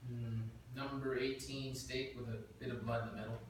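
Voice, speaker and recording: Brief: man reading low-key agent, soft and reassuring, roomy distant sound. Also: little pitch movement, moderate pace, close to an everyday voice. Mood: calm. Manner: quiet, reassuring. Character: calm mid-thirties male government agent, quiet and smooth. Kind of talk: table read. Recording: echoey room, mic far off, faint noise.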